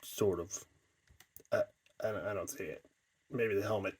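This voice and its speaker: A man's voice: fairly low pitch, fairly even intonation, a little slow, darkish tone, slightly rough, voice pushed down. A man voicing a blunt, boastful demon samurai creature in an improvised toy skit. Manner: blunt, brushes off questions.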